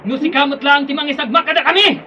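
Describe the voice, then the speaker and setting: A man's voice: extremely high pitch, fast, muffled recording. An actor with a Filipino accent playing a menacing rebel gunman in a radio drama.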